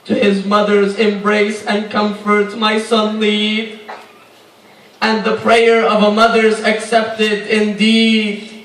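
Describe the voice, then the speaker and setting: A man's voice: extremely high pitch, fairly bright tone. In a reverberant space, somewhat far from the microphone, a man chants a mournful devotional poem. His melody stays in a narrow range, with long, sustained phrases delivered as a lament. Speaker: religious reciter. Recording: echoing, distant-miked recitation.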